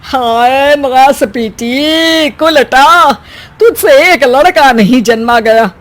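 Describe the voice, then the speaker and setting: A performer voicing a spiteful old grandmother in a melodramatic family story, scolding and taunting in a drawn-out way. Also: sits high, fairly bright tone. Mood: furious scolding.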